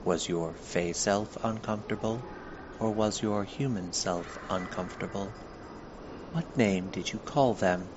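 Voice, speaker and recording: Audio drama museum guide male performer: deep, formal, measured, solemn; noisy lo-fi sound. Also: close to an everyday voice. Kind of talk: scripted audio guide narration. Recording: clearly noisy, dull lo-fi recording.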